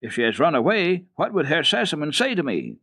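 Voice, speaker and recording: A man's voice: a little high, wildly swooping pitch, fairly quick, gravelly, fairly bright tone, voice pushed up, full character voice. A man voicing a stern, easily outraged governess, scolding and commanding in a children's story reading. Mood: indignant. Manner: indignant, exclamatory, commanding.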